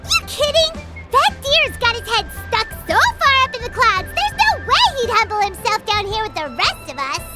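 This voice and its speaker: A woman voicing a small, excitable, cheeky fairy companion in a video game, with a squeaky childlike voice and a brash manner. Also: normal speed. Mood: cheeky, accusing. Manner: brash, speaks of self in third person. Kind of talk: video game character acting. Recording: music behind the voice.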